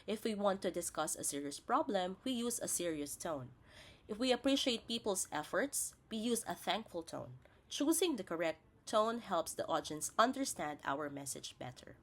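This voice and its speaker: A woman's voice: medium pitch, sing-song, moderate pace. A woman presents a scripted lesson as a teacher, spelling out each point in a didactic, step-by-step way. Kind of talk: scripted instructional narration.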